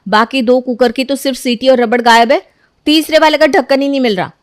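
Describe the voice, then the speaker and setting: A woman voicing a careless, defensive young wife in an animated story. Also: sits high, fairly quick, dry recording, studio quality, lightly acted. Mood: defiant. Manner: defensive, argumentative.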